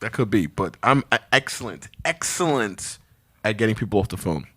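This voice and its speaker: A male podcast co-host with a very lively, varied intonation. The sound is dry. He banters in slang, jokes around and repeats phrases for emphasis. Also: fairly low pitch, moderate pace, slightly rough, no echo. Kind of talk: casual banter.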